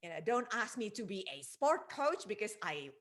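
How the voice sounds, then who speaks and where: A middle-aged woman from Indonesia hosting a casual podcast interview, enthusiastic and quick to laugh. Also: medium pitch, sing-song. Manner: enthusiastic, laughs often, warm affirmations. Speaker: podcast host, relationship coach.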